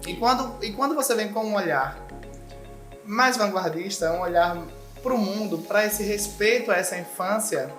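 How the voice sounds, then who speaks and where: A woman's voice: mid-range voice, normal speed, fairly bright tone. Earnest woman. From Sergipe, Brazil. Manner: earnest, explanatory, acts out quotes of parents. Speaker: school coordinator. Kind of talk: interview guest explaining. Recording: echoey room with background music.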